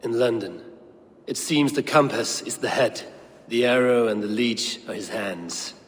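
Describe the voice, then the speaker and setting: An actor playing a stern, purposeful Viking raider in a video game, measured, terse and formal in speech. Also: male voice, medium pitch, in a large hall, close to an everyday voice. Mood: grim resolve. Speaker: playable lead character.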